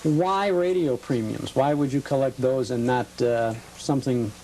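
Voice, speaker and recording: A man's voice: mid-range voice, normal speed, mellow, a little hiss or hum, poor audio. A man hosting a conversational television interview, recorded in a home. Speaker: host. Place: guest's home.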